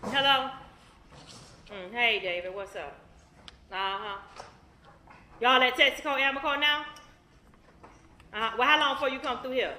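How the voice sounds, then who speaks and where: A woman's voice: high-pitched, measured pace, long pauses, roomy sound, slight background noise. An actress playing a harsh, abusive mother who scolds and scorns her son. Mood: scornful. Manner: scolding, sharp Southern slang. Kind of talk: stage play acting.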